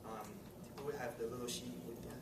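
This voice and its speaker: A man's voice: medium pitch, fairly even intonation, quick pace. A man hosting a club meeting, making chatty announcements with frequent little laughs. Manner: friendly, chatty, laughs between remarks. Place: meeting room. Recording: roomy, distant mic, loud room noise.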